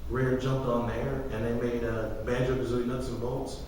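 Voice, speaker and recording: A man's voice: mid-range voice, flat delivery, normal speed, a little mellow. A young man co-hosting a casual gaming podcast, ranting emphatically with sarcasm and some swearing. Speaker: podcast co-host. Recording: echoey hall, distant mic, light noise.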